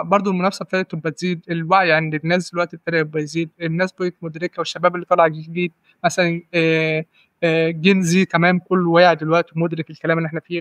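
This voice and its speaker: A man's voice: a little high, fairly bright tone, dry recording. A man in his twenties with an Egyptian accent, a podcast guest giving advice in casual talk, often repeating and restarting words and checking "right?". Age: 20s. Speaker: guest data analyst.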